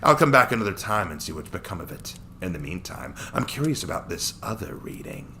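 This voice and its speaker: A man voicing an arrogant, sneering male warrior villain in a story, with contemptuous, drawn-out muttering. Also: low-pitched, very animated, pushed and bright, a little hiss or hum, full character voice. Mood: intrigued, menacing.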